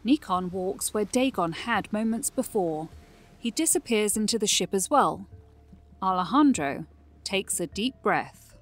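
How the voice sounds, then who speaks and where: Female narrator for scripted fantasy audio drama; average pitch, soft music bed. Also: animated, measured pace.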